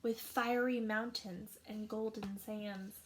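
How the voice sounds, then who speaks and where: A woman teacher reading a rhyming picture book aloud with slow, expressive narration. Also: medium pitch, slight background noise, rough recording. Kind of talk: storybook read-aloud.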